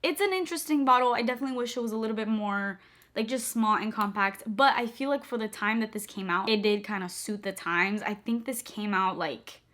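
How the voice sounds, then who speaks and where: A young woman talks straight to camera in a bubbly, gushing way, peppering her sentences with "like". Her voice is slightly hoarse. She sounds relaxed and casual, recorded at home. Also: medium pitch, brisk. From moved to the U.S. as a child. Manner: bubbly, gushing, many "like" fillers. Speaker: beauty vlogger. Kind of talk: casual chatty vlog.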